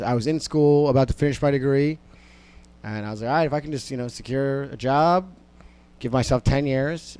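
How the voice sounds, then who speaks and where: A man's voice: medium pitch, darkish tone, smooth. A young man whose first language was Amharic, a comedian talking casually in an interview, rambling and profane with many fillers. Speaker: stand-up comedian, podcast guest.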